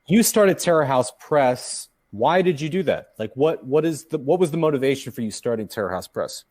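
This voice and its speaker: A man's voice: medium pitch, lively intonation, moderate pace, a little mellow. Earnest, chatty man in his thirties. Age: 30s. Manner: earnest, chatty, explains at length. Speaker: podcast guest, teacher. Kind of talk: casual podcast chat.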